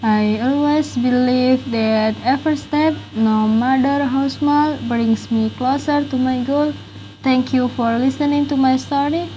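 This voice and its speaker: Indonesian-accented woman, 19, earnest careful reader, warm tone, slightly high pitch. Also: steady tone, unhurried, slight background noise, low-quality recording. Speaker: university student. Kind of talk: reading a personal life story.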